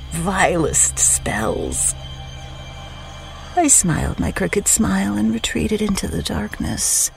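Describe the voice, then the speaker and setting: A man voicing an ancient, malevolent witch in a horror story, gleeful and predatory, slow and deliberate, slipping into hushed whispers. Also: fairly high pitch, creaky. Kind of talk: dramatic horror story narration. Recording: music under the voice.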